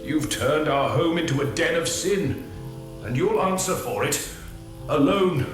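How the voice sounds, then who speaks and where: A bitter, self-righteous husband, played by a man spits religious scorn, his voice swinging wildly up and down. He sits a little high for a man. The recording is awash in heavy echo with clear music underneath. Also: expressive, measured pace. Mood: furious. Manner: venomous, preaching, full of religious scorn. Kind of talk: audio drama acting.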